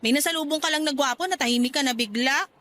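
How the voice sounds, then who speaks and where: Woman playing a jealous young wife, radio drama, high-pitched, bright and sharp, accusing. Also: quick pace, slight background noise.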